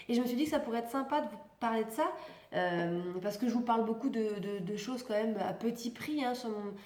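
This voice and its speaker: A woman's voice: a little high, fairly quick, a little mellow, reverberant. A young woman with a stuffy nose from a cold, chatting casually and rambling as she tests beauty products in a vlog. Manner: chatty, rambling, self-correcting, laughs often. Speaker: beauty vlogger.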